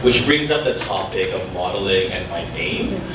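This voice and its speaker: A man's voice: sits low, expressive, moderate pace. A man from the Philippines and Canada, a native Filipino speaker, gives an interactive, conversational talk with self-deprecating asides and frequent check-in questions. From born in the Philippines, moved to Canada when very young. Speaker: education workshop presenter. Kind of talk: interactive live presentation to parents and educators. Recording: echoey room, far from mic, clear noise.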